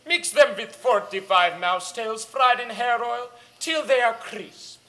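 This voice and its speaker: A woman's voice: fairly high pitch, expressive, measured pace, bright, forceful tone. A woman playing a tyrannical, gleefully cruel grand witch in a theatrical drama, speaking imperiously in foreign-sounding broken English. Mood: scheming glee. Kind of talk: theatrical villain monologue.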